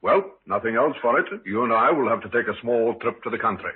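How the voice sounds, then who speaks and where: An actor playing a brisk, easygoing British police inspector in an old radio drama. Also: male voice, mid-range voice, slight background noise, lightly acted. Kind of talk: 1950s radio drama acting. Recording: muffled, tinny old radio recording.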